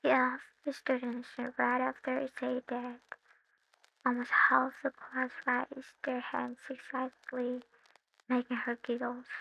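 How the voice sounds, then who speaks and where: A woman whose first language is not English, slowly reading a fan-fiction story aloud with occasional slips, on a slightly dull recording. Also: high-pitched, completely flat delivery, creaky, bright, forceful tone, slightly rough. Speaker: narrator.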